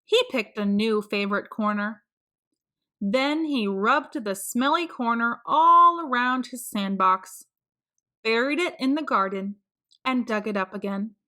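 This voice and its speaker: Woman reading a picture book to kids, slow and expressive, higher bright voice. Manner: slow, expressive, sing-song. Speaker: children's book reader. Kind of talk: read-aloud storytelling.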